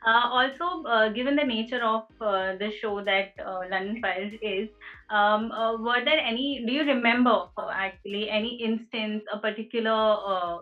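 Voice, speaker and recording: A woman interviewing, asking long, halting questions padded with many "uh"s. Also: a little high. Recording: dull, lo-fi audio.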